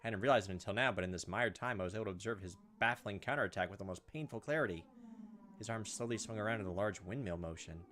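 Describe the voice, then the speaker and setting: A man's voice: on the low side, fast, fairly bright tone, a little hiss or hum. A man hosting a gameplay video, reading a visual novel aloud with theatrical, punchy delivery and joking commentary. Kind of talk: dramatic read-aloud narration mixed with joking commentary. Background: game music.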